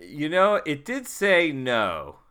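A man's voice: fairly high pitch, huge pitch swings, takes it slowly, bright, forceful tone, a little hiss or hum. A man improvising casually as a player in a tabletop game, with laid-back, rambling phrasing. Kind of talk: casual improvised in-character chat.

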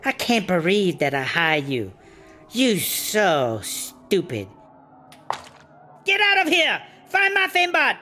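Slow, scornful startup boss in broken English. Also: female voice, medium pitch, huge pitch swings, sharp tone, a little hiss or hum, full character voice. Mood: angry. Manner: broken English, berating, caricatured. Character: scheming, scornful Chinese startup boss and trafficker woman. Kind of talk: comedy audio drama acting.

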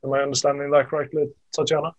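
A man's voice: fairly high pitch, level tone, very fast, highs cut off. A man hosting a group discussion, affirming the speakers and checking understanding with small tag questions. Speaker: discussion host.

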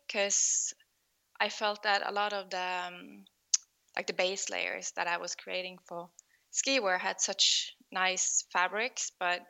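A middle-aged Norwegian woman with a Norwegian accent speaks slowly and carefully in an online interview. Her voice has a bright, forward edge, and she stops often with soft fillers and small laughs as she finds her words. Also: medium pitch, vocal fry. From Norway. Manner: thoughtful, pauses often with fillers, light laughs. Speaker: guest, fashion designer. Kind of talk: remote interview conversation. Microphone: online call.

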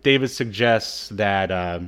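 A man co-hosting a podcast, giving a casual, informal recap in a halting storytelling manner. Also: on the low side, fairly bright tone, smooth. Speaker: podcast co-host. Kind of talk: casual episode recap chat.

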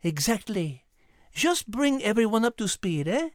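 Man putting on a high, refined French lady cat. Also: big pitch swings, measured pace, dry recording, clean studio sound. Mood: coolly inquisitive. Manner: precise, refined, sprinkles in French words. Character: petite, intellectual, composed female French cat.

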